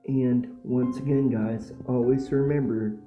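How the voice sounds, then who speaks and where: A man's voice: medium pitch, steady tone, a little slow. A man giving a podcast introduction as its host. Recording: echoey, dull sound with faint music.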